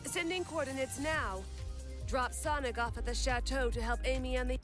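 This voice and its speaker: A high-voiced female cartoon agent acted by a woman, brisk and all business. She delivers her message in comic dub style, with music clearly behind her. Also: lightly acted. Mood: brisk, urgent. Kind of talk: animated comic dub acting. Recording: music under the voice.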